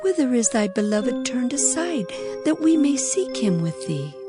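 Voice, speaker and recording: A woman's voice: a little high, very animated, unhurried. A woman playing an inquisitive companion of a bride in a dramatized scripture reading, questioning and theatrical, with music behind. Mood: curious questioning. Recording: music under the voice.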